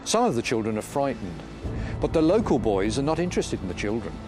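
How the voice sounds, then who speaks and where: A man speaks as an author and educator in a considered, literate manner. His pitch is average for a man, and faint music runs underneath. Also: big pitch swings, fairly quick. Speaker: former head teacher and author. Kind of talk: reading aloud and reflective interview talk.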